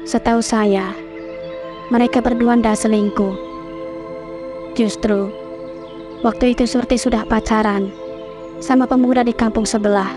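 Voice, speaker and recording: A woman voicing an older village maid in a horror cartoon, telling things slowly and plainly. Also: a little high, steady tone, over music, natural voice. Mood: guarded. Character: older village woman servant, calm and guarded.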